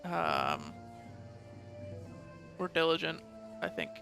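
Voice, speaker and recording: A man's voice: on the high side, wildly swooping pitch, slow pace, gravelly, music in the background. A man giving casual let's play commentary, thinking aloud and reading bits of game text, with some mild swearing.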